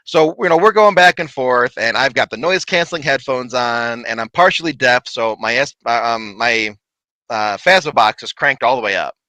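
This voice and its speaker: A man telling a lively, laughing story from his paranormal investigations in casual chat. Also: medium pitch, normal speed, no echo, rough recording. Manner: animated, laughing, big voice.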